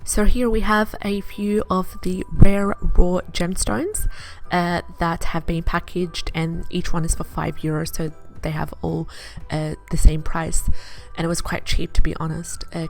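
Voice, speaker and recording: A woman from Iraq narrating her vlog in a chatty, enthusiastic way, pausing often with "uh". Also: fairly low pitch, gravelly. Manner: chatty, enthusiastic, with frequent "uh" pauses. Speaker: vlogger.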